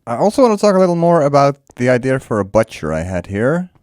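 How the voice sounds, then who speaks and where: A man's voice: medium pitch, very animated, fast talker, a little mellow, dry recording, clean studio sound. A man whose first language is Dutch, casually commenting while he builds in a game, musing aloud and laughing often. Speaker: let's play creator.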